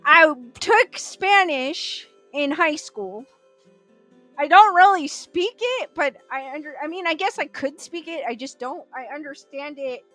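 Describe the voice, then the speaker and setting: A woman's voice: high voice, animated, unhurried, bright, forceful tone, soft background music. A woman hosting a livestream, chatting casually and laughing often as she reads viewer comments aloud. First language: Korean as early childhood language. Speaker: livestream host. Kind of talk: casual chat reading live comments.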